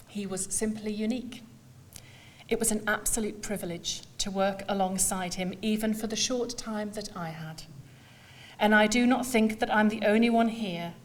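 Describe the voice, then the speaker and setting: A woman speaks as a school leader paying tribute at a memorial. Her delivery is formal and measured, with deliberately shaped phrases and pauses between them. Her voice sits at an average pitch. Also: a little hiss or hum. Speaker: school leader giving a eulogy. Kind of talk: prepared memorial speech to an assembly.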